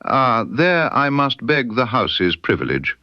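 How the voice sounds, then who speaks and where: A man as an unctuous, animated showman proprietor. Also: mid-range voice, slow pace, no room sound, dull audio, voice pushed up. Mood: smooth, deferential. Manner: unctuous, formal, guarded. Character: evasive, obsequious male waxworks proprietor. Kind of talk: audiobook character dialogue.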